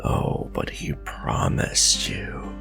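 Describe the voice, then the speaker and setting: A man playing a gruff, centuries-old werewolf vampire hunter, bitter and distrustful, growling grim, breathy warnings in a dramatic fantasy ASMR roleplay. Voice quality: very deep, gravelly, breathy growl. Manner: grim, scornful, slow and deliberate warnings. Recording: voice over clear background music. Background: music.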